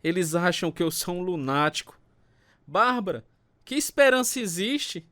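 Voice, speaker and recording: A man's voice: high voice, lively intonation, moderate pace, fairly bright tone. An actor playing a troubled, bitter alcoholic man in a dramatized first-person radio story, speaking with a Brazilian accent and grave delivery. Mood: despairing. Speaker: narrator and protagonist of a radio testimony drama. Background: acoustic guitar music.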